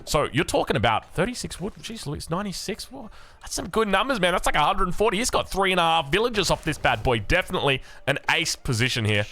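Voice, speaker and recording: A young Australian man casting a video game match, energetic and excitable, his voice a little hoarse from a long day of commentary. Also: fast talker. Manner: excitable, energetic, big pitch swings. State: slightly hoarse. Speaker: game caster.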